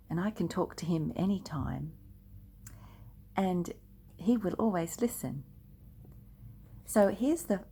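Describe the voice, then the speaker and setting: An older woman reading a picture book aloud expressively and deliberately, speaking directly to young listeners. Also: sits low, creaky, dark tone, breathy edge. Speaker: storyteller.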